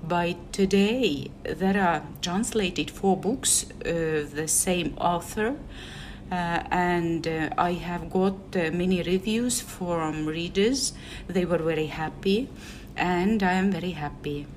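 Slow hesitant Estonian-accented woman. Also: on the low side, a little hiss or hum. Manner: hesitant, many filler sounds. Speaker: guest speaker. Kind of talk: recorded personal message.

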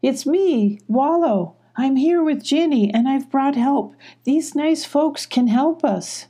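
A woman voicing a gentle, tearful sloth in a children's story, with pleading, drawn-out calls. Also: high voice, expressive, voice pushed up. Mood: hopeful calling. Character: gentle, tearful sloth calling out hopefully for friends.

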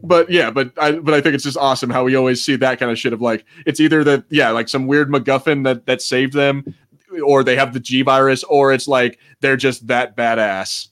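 A man's voice: medium pitch, steady tone, brisk, a bit bright. A man with a mid-range voice chats as a podcast co-host. He rambles informally, with plenty of slang and the odd swear word. The style is loose pop-culture conversation. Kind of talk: casual pop-culture chat.

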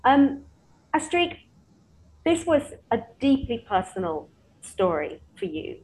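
A woman's voice: high voice, big pitch swings, slow, darkish tone, poor audio. A woman hosting a podcast's live chat, opening with hesitant "um" starts and asking her guest questions. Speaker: podcast host.

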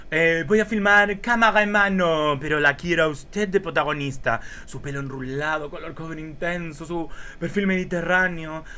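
A man's voice: on the high side, moderate pace, few pauses, sharp tone, smooth. A man playing a courtly, lecherous B-movie filmmaker in a campy radio play, theatrical and gushing. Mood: enamored, excited.

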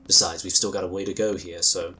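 An actor playing a calm, courteous psionic inquisitor in a sci-fi audio drama, with measured, formal phrasing. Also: male voice, low voice, slightly rough, full character voice. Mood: thoughtful, reasoning.

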